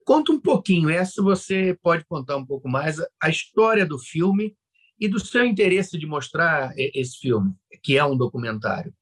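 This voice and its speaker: A man's voice: fairly high pitch, big pitch swings, normal speed. A man with a Brazilian accent hosting a conversational interview in an informal manner. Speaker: interviewer, host.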